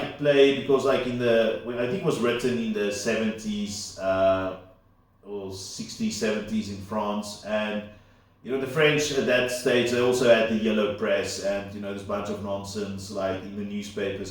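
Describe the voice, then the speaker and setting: A man whose first language is Afrikaans, rambling casually through a solo commentary with lots of fillers and asides. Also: on the low side. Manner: unscripted, full of fillers and asides. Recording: very echoey room, far from mic.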